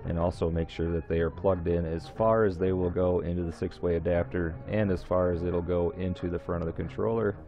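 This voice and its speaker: Man, very deep gravelly voice, dark tone, slightly muffled lo-fi voice-over, faint music behind, plain step-by-step tutorial. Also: little pitch movement, fairly quick, few pauses. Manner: plain, step-by-step instructions with some fillers and restarts. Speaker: host of a how-to video series. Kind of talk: screen-recorded tech tutorial. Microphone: voice-over recorded over screen capture.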